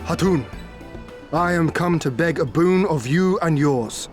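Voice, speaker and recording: A resolute young prince. His voice sits slightly high, and his words are formal and measured. A game score plays clearly behind him. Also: soft background music. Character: noble young male prince, earnest and resolute. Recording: game soundtrack under the voice.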